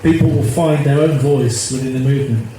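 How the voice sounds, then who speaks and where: A man in his fifties speaks earnestly, circling back over his own phrases. His voice sits at a middling pitch. It was caught on a phone in a very echoey space with plenty of background noise. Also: brisk. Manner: earnest, rambling, repeats himself. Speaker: activist interviewee. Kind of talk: casual interview. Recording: echoey, noisy phone recording.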